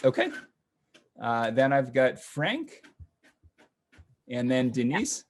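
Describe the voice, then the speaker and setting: A man with an ordinary mid-range voice leads a video call, calling names in a relaxed, casual way. He fills gaps with ums and short okays. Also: mid-range voice, slow. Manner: relaxed, friendly, lots of fillers. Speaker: host of online class session.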